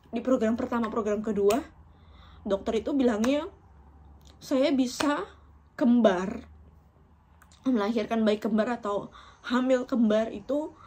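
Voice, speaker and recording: A woman's voice: a little high, darkish tone, breathy edge. A woman in her thirties from Indonesia, talking directly to her viewers in an emotional, personal vlog, often breaking into laughter. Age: 30s. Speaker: vlogger.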